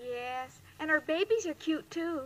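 A woman's voice: high-pitched, lively intonation, measured pace. An actress playing a naive, lovestruck, dim-witted young woman in a 1940s radio comedy, earnest and literal, on an old recording. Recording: very noisy vintage radio recording.

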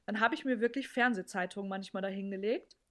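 A woman's voice: mid-range voice, steady tone, fast, a bit bright. A woman in her thirties, co-hosting a podcast, telling candid stories and laughing at herself in casual chat. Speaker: podcast co-host.

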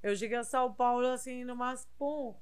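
Clear-voiced woman with a Spanish accent. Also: fairly high pitch. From southern Spain. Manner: halting non-native Portuguese mixed with Spanish words. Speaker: interview guest, body piercer. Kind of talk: casual conversational storytelling.

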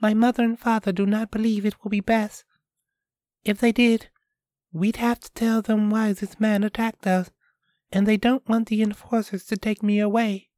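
A man voicing a frightened young girl who speaks softly and formally. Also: sits high, little pitch movement, normal speed, no room sound, studio quality, pitched above the performer's own voice, lightly acted. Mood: anxious.

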